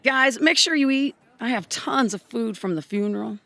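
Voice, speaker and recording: Female actor, diner proprietor, feisty and charming; high, lively voice with a creaky edge, audio comedy. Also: normal speed. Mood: brisk, upbeat. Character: feisty, charming country woman who runs a diner. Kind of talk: comedic audio drama dialogue.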